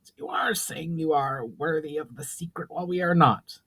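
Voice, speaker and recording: A man acts out an elderly goblin mage in a fantasy storybook. The pitch sits above his normal voice. The speech is proud, formal and weighty. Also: moderate pace, fairly bright tone, breathy edge. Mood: fuming.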